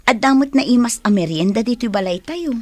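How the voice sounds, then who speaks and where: Actress for cautious, caring young child's mother, fairly high voice, radio drama. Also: expressive, brisk, a little mellow, lightly acted. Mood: gently firm. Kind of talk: Ilocano radio drama acting.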